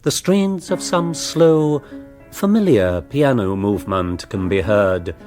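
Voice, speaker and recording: A man's voice: lowish voice, lively intonation, slow pace, a little mellow, faint music behind. A man with an English accent reading scripted, wry narration for a radio drama.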